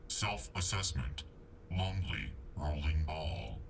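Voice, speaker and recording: Very deep, gravelly game creature. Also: male voice, big pitch swings, takes it slowly, heavy background noise, full character voice. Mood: deadpan. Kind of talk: video game voice lines.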